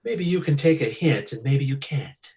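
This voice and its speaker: A man's voice: mid-range voice, lively intonation, normal speed, darkish tone, close to an everyday voice. An actor playing a big, menacing gunman in a radio crime drama, talking in terse, cocky slang. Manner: terse threats, tough slangy talk. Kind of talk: 1940s radio detective drama.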